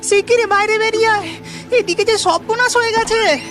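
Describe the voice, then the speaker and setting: Woman acting as anxious farmer, cartoon villager, very high pitch, urgent pleading manner, background music. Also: normal speed. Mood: alarmed.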